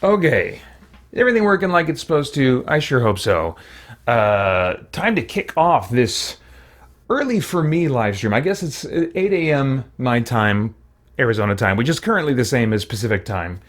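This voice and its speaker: A man's voice: medium pitch, big pitch swings, normal speed. A man hosting a game livestream, talking casually as he plays and addresses his viewers. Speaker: livestream host playing a fantasy role-playing game.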